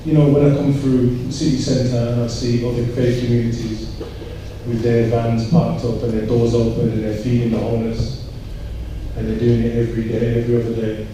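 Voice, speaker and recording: A man talks at an event from some distance off the mic, in a heavily echoing room with clear background noise. His delivery is earnest and reflective, fairly flat in melody, with pauses and repeated words as he gathers each point. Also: medium pitch. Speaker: panel speaker. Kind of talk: panel discussion remarks. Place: large echoey indoor event room.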